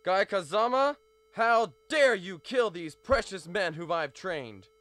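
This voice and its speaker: A very high, squeaky villain who threatens the hero with a sneer. The line is read close to the mic in a dry booth, game style. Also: male voice, expressive, slow, fairly bright tone, dry recording, heavily acted. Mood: menacing. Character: squeaky menacing male villain boss.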